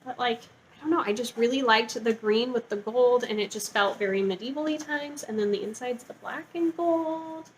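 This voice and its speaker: High-voiced woman chatting about favorites, noisy background. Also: moderate pace, hardly any pauses. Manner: informal, gushing, peppered with "like". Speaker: book vlogger. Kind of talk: casual chatty book review to camera.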